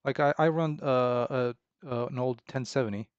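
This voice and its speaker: A man in his thirties from Romania, chatting casually with livestream viewers in a halting, relaxed way full of fillers and restarts. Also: mid-range voice, clean tone, no echo. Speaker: indie game developer streaming. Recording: dull, slightly lo-fi dry sound.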